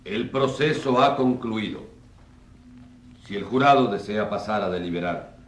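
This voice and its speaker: An actor playing a formal, declamatory judge who presides over a tribunal in a radio drama. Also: male voice, fairly low pitch, unhurried, slightly rough. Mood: formal, procedural. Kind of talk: radio drama courtroom speech.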